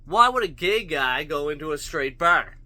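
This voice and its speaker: A man's voice: a little high, animated, moderate pace, sharp tone, no room sound. A man ranting bluntly to his viewers, profane and full of rhetorical questions. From Canada. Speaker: vlogger. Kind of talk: opinion rant to camera.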